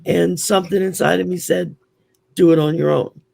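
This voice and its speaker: A man's voice: high voice, steady tone, moderate pace, a little mellow, dry recording. Growly Southern man telling stories. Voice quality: growly, gravelly. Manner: chatty, open, storytelling. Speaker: guest. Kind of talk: casual chat.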